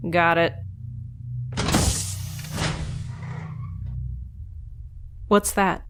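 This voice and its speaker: A woman's voice: lowish voice, little pitch movement, measured pace, heavy background noise, natural voice. A woman playing a guarded, wry young scientist in a science fiction audio drama, speaking in a casual way. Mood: wary, defiant.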